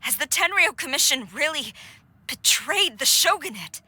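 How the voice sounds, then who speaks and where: A woman's voice: sits high, very animated, normal speed, pushed and bright, no room sound, exaggerated voice. A woman voicing a stern, loyal young woman general in a video game, formal and clipped. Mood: anguished.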